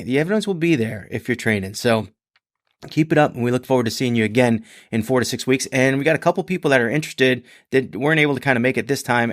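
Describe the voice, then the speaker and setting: A man hosting a podcast in a rambling, chatty solo talk, his voice a little hoarse and congested. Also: mid-range voice, dry recording, clean studio sound. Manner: rambling, chatty, many restarts and fillers. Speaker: podcast host. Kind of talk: casual solo podcast monologue.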